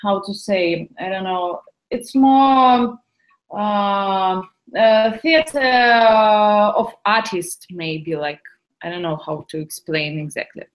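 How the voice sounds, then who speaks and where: Hesitant young woman, slow careful English. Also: medium pitch, rough recording. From Ukraine. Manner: hesitant, many fillers and restarts. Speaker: speaking club participant. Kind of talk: casual chat in learner English.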